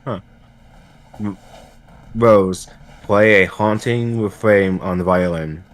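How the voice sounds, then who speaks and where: A man's voice: on the low side, fairly even intonation, slow pace. A man in a casual group reading, giving brief replies and reading out short prompts.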